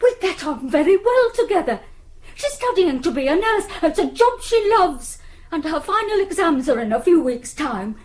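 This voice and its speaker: A woman's voice: very high voice, very animated, moderate pace. An actress playing an anxious, distraught middle-aged British mother in a radio drama, pleading and hesitant but insistent. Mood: agitated, insistent. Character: anxious, distraught mother of a missing grown daughter.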